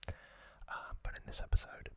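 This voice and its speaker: A man whispering gently and breathily as an ASMR host, recapping a story in unhurried, halting phrases close to the microphone. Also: no room sound.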